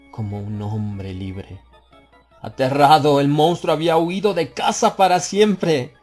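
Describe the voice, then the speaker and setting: Guy narrating a creepy audiobook, mid voice, theatrical swells, soft music behind. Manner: theatrical, building tension with swells in pitch and volume. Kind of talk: dramatic scripted narration.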